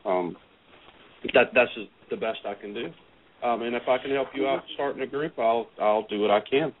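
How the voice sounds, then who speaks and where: A man speaking hesitantly as a guest, with many pauses and fillers. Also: medium pitch. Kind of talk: casual call-in discussion. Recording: tinny phone-line audio.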